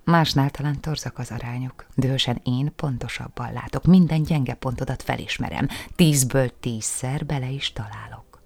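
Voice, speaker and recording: A person reciting a poem dramatically, in a husky, gravelly, breathy voice, with menacing, deliberate delivery and hushed phrases. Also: mid-range voice, dry recording.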